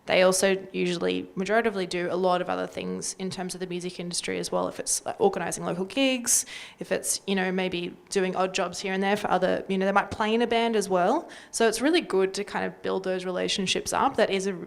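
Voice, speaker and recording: Low, very creaky Australian woman. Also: fairly even intonation, fast. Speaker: community radio panelist. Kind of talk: casual panel talk.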